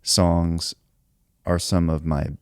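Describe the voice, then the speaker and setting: A man who writes songs, talking in a relaxed podcast conversation, thinking aloud and reflecting at length with many filler words. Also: low voice, takes it slowly, smooth, no echo, studio quality. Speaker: songwriter.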